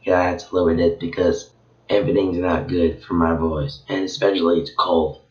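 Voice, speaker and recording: A man from Venezuela, hoarse from losing his voice, reading a story chapter aloud in a casual, halting way with frequent slips. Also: sits low, flat delivery, a little mellow. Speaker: channel host reading a story. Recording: echoey room, dull lo-fi sound.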